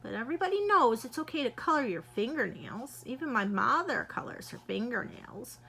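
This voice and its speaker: A woman reading aloud, voicing a small girl who pleads and insists, bright and a little higher than her own voice. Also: sing-song, normal speed, gravelly, breathy edge, full character voice. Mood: decided. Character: young girl, pleading and cheeky.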